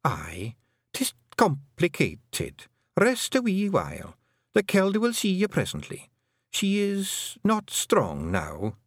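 A man's voice: sits high, huge pitch swings, takes it slowly, no echo, clean studio sound, voice pushed up, exaggerated voice. A man voicing a tiny, proud and determined female pixie with a Scottish accent in a storybook reading. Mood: assured.

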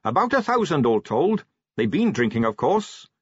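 A man playing a dutiful young watch captain with a lifted, earnest voice that rises and falls readily. He is eager and respectful and has a slightly husky edge. The recording is close and dry. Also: on the high side, sing-song, normal speed, no echo, lo-fi sound, voice pushed up. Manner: earnest, eager, polite "sir"s.

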